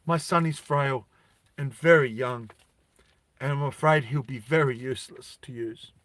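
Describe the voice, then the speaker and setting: A man's voice: medium pitch, measured pace, a bit bright, lightly acted. A man voicing a frightened, meek mother who pleads desperately and repeats herself deferentially. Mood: pleading, afraid.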